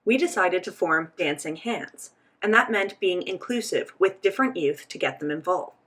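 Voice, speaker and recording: A woman voicing the interpretation of a signed interview, speaking for both host and guest in a measured first-person delivery. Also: on the low side, animated, fairly bright tone.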